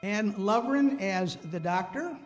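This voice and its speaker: A high-pitched man gives informal thanks and credits as host, halting and hesitant as he goes. He speaks in a reverberant hall, with loud music in the recording. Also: expressive. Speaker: host of a community radio-play show. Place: hall with a live audience.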